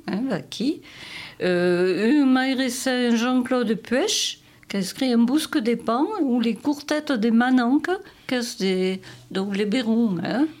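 A woman's voice: fairly high pitch, darkish tone. A woman co-presenting a radio talk show, taking her turn to list writers and their works, with hesitant fillers.

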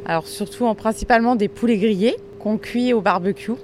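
A woman's voice: mid-range voice, a little fast, darkish tone, slight background noise. A woman snack bar owner giving plain, matter-of-fact answers in a radio interview.

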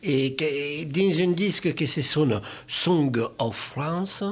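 A man's voice: on the high side, animated, slow pace. A man hosting a radio talk show, introducing a song in a loose, hesitant way. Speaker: radio host.

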